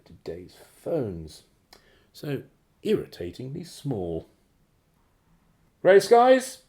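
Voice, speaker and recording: A genteel villain of a radio drama, slightly high in voice and wildly swooping in pitch. He draws out his words with mocking courtesy, sounding suave, condescending and quietly dangerous. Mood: mocking menace, then shouting orders. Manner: theatrical, condescending, chuckling politeness with drawn-out phrases. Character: suave, menacing, drawling male crime boss, mockingly genteel. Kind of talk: radio play acting.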